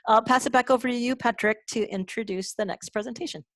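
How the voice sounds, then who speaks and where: A woman moderating an online meeting informally, encouraging and halting as she speaks. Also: on the high side, no room sound. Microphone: video call.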